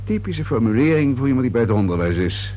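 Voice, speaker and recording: Actor playing a shrewd inspector over noisy hum. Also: male voice, mid-range voice, dull audio, lightly acted. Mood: probing, musing. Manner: musing, unhurried questioning with a polite, probing edge. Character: wry, methodical police inspector, deceptively affable and shrewd. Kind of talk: radio drama acting. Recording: hum and steady noise behind voice.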